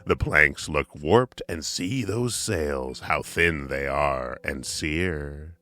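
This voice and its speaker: Pious old hermit, acted by a male reader: very low, unhurried, archaic, bold and curious. Storybook verse. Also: bright, forceful tone, no echo, heavily acted. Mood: wondering. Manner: archaic, exclamatory speech.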